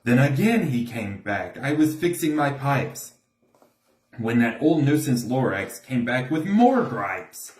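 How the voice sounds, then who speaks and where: A man's voice: mid-range voice, sing-song, takes it slowly. A man reading a rhyming storybook aloud in a theatrical, emphatic way. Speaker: storybook reader. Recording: echoey room.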